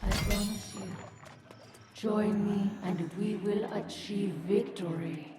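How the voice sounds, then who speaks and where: A woman playing a resolute leader in a video game cutscene, rallying followers with measured oratory. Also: medium pitch, steady tone, lightly acted. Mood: determined. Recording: distant, echoey, loud background noise.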